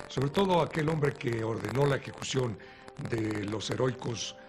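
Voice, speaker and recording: A man's voice: mid-range voice, a little slow. A man hosting an educational interview, explaining things conversationally. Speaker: host. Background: music underneath.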